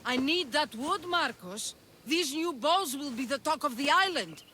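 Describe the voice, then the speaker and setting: A woman's voice: high voice, expressive, measured pace, sharp tone, slight background noise, full character voice. An actress playing a hard-nosed, foul-mouthed craftswoman in a video game, blunt, demanding and scornful. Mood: impatient, menacing. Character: brash, foul-mouthed female bow-maker with hard-nosed temper. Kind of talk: video game cutscene acting.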